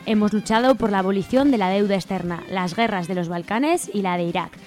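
A woman's voice: mid-range voice, a little fast, a little mellow. Spanish woman reading radio narration over music. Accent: Spain Spanish. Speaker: radio show co-host. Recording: music bed behind the voice.

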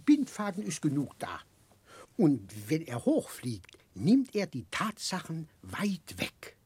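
An older man playing a kindly, muddle-headed, childlike eccentric gentleman in a radio drama, husky and confiding, speaking haltingly. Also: a little high, wildly swooping pitch, full character voice. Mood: gently proud. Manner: chuckling, halting, confiding, rambling. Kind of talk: 1960s German radio drama acting.

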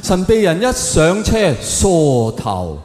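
A man with a Hong Kong Cantonese accent performing stand-up to an audience, telling stories in a theatrical, exaggerated way with drawn-out punchlines. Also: on the high side, huge pitch swings, darkish tone. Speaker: stand-up comedian. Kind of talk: stand-up comedy monologue to an audience. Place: large live performance venue. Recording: echoey hall with long reverb, faint noise.

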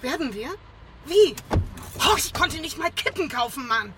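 High-voiced, brash, slangy woman. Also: expressive, a little slow, very noisy. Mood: exasperated. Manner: blunt, slangy, swearing. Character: brash, stubborn woman carpenter fuming at the tax office. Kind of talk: naturalistic German radio drama acting.

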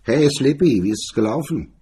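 Man playing MacDonald, a husky sneering gangster. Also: mid-range voice, expressive, normal speed, dark tone, pitched above the performer's own voice. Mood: brisk. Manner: sneering, slangy tough talk. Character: MacDonald, mocking, sour tough gangster man.

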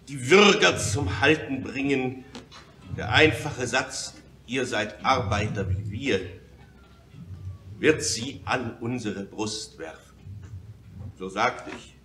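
Grave, humane revolutionary leader, slow solemn oration, distant and echoey, radio drama. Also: male voice, medium pitch, pushed and bright. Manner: measured, solemn, rhetorical. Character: grave, hesitant, humane Commune leader addressing delegates. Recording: echoey hall, distant, noisy ambience.

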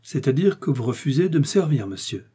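A man puts on a powerful old cardinal in a storybook reading, smooth and lofty, coaxing and pressing. The voice is pitched higher and louder than the reader's own, with a dark, slightly husky tone. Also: sing-song, brisk. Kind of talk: audiobook character reading.

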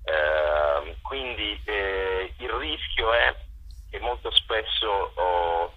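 A man's voice: low-pitched, extremely slow, narrow, tinny audio. A man organizing cultural events, answers interview questions, hesitant and full of fillers.

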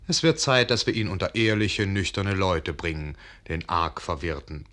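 A man's voice: low voice, moderate pace, fairly bright tone. A man playing a wry, genial fairy-tale narrator in a radio production, telling the story with knowing irony. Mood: wry storytelling. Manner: ironic, knowing. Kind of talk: theatrical scripted narration.

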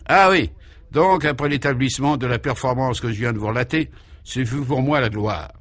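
A man's voice: medium pitch, moderate pace, fairly bright tone, close to an everyday voice. Man acting as nostalgic courtesan, female figure, grand, genteel, self-important, comic radio serial. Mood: proud, nostalgic. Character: grand, nostalgic middle-aged courtesan, proud madam.

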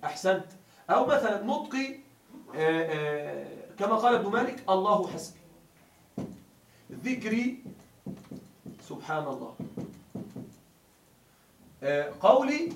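A man teaching a grammar class, explaining step by step and quizzing his students with questions. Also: high-pitched. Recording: echoey classroom from a distance, faint hiss.

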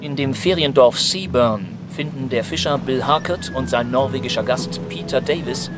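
A man's voice: medium pitch, normal speed, natural voice. A man narrating a scripted, matter-of-fact recap of a detective radio play. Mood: factual, suspenseful. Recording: loud music under voice.

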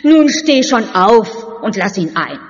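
A woman's voice: mid-range voice, big pitch swings, slow. An actress playing a coquettish, haughty stage leading lady with a theatrical delivery in a German audio drama. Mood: playful. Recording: dull sound in a reverberant hall.

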